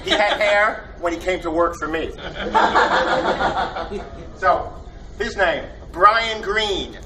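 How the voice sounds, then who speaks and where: A man's voice: high voice, slow pace. A man hosting a convention panel, introducing his team in a jokey, enthusiastic, rambling way with lots of fillers. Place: convention hall. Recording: distant mic with loud room noise.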